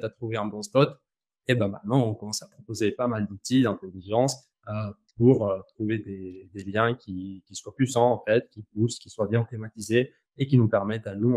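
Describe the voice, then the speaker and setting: A man with an Argentine accent, talking casually as an interview guest, relaxed and slangy, with frequent fillers and restarts. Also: fairly low pitch, no echo. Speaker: guest, company founder.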